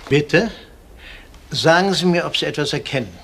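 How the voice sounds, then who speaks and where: A man's voice: on the high side, lively intonation, moderate pace, vocal fry, a bit bright, slight background noise. Polite, fussy old male pensioner. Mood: tentative, polite. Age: 60s or older. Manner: courteous, hesitant, formal. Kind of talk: German crime radio play acting.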